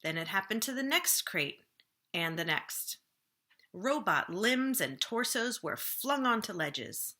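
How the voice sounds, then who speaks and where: Bright-voiced woman reading a children's story. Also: mid-range voice, big pitch swings, slow pace. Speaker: children's librarian reading a book aloud. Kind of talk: storybook read-aloud for children.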